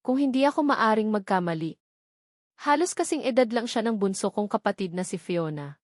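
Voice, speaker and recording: A woman's voice: medium pitch, animated, fairly quick, no room sound, studio quality. A woman with a Filipino accent reading a first-person romance novel as an audiobook narrator.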